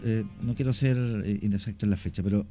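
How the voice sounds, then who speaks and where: A middle-aged man with a Chilean accent, a guest on a radio show, telling stories slowly with many pauses and restarts. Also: fairly low pitch, fairly even intonation, mellow, soft background music. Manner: unhurried, many fillers and restarts, anecdotal. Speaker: guest storyteller. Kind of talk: radio conversation, recounting cases.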